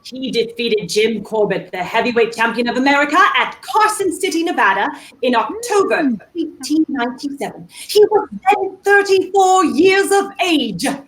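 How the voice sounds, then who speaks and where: A woman's voice: sits high, expressive, normal speed, few pauses. A woman playing a proud music hall memory act in a 1930s radio play, reciting with theatrical precision. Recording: faint background noise, video-call audio.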